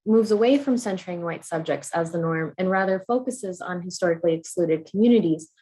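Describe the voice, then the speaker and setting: A woman from the United States giving an academic conference talk, measured and earnest, with frequent ums and uhs. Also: medium pitch, a little mellow, low-quality recording. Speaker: conference speaker.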